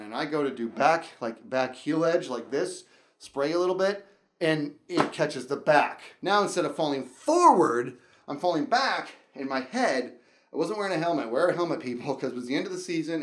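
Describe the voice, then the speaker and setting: Animated, higher-pitched man telling stories, roomy sound. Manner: animated, acts out dialogue and reactions. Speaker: storyteller and show host. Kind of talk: casual, rambling comedic storytelling.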